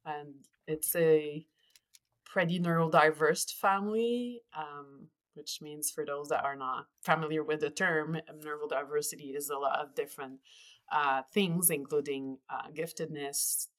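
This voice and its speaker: A woman in her forties with a Quebec French accent, a native French speaker, chatting as a podcast guest with frequent pauses and restarts. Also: sits low, fairly even intonation. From Quebec, Canada. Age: 40s. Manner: earnest, pauses often with fillers and restarts. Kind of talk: casual interview chat.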